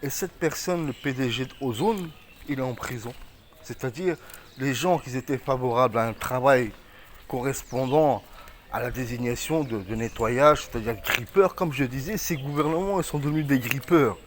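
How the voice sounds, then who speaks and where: A man's voice: medium pitch, normal speed. Agitated man ranting into a distant phone. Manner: agitated, rambling, rising to shouted accusations. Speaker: vlogger. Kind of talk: rambling phone-filmed rant. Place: outdoors on a street near his home. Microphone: phone camera. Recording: roomy distant outdoor phone recording with loud ambient noise.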